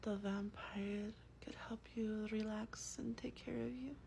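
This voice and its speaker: A woman playing an affectionate vampire in a soft-spoken ASMR roleplay, with a breathy whisper and a tender, unhurried, intimate manner. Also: medium pitch, fairly even intonation, a little hiss or hum. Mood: tender, soothing. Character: affectionate female vampire soothing her human darling.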